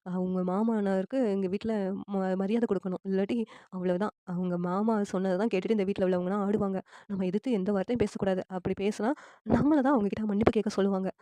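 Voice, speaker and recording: Woman acting a mellow, kindly sister-in-law. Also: medium pitch, fairly even intonation, fast, no echo, close to an everyday voice. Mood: resigned. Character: kindly sister-in-law, supportive, resigned to house rules.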